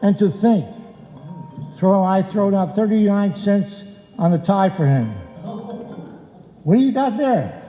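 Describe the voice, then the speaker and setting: A man's voice: high voice, animated, slow pace, long pauses, mellow, soft background music. Man acting an exasperated husband, echoey hall. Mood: indignant. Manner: argumentative, exasperated, wisecracking. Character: grumbling, exasperated, henpecked husband in a bickering comedy. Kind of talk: old-time radio comedy acting before a live audience. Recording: echoey live hall, roomy sound.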